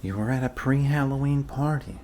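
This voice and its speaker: A man doing an improvised ASMR role-play as a calm, quirky doctor, speaking haltingly and reassuringly to a patient. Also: medium pitch, a little hiss or hum. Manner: halting, reassuring, trailing off with fillers and pauses. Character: calm, quirky male doctor treating a zombie bite.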